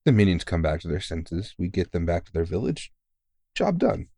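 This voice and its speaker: A low-pitched man performing as a battle-worn vampire hunter in an ASMR audio roleplay. He is tired but kind, reassuring a rookie with teasing, informal, sweary talk. The sound is dry, with very little room to it. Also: very animated, normal speed, vocal fry, warm tone, dry recording, full character voice. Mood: animated storytelling. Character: tired, kindly veteran male vampire hunter mentoring a rookie.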